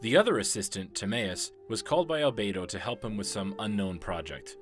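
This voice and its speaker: Low-voiced man narrating over music. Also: fairly bright tone. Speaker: video game lore narrator. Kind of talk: scripted narration. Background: background music.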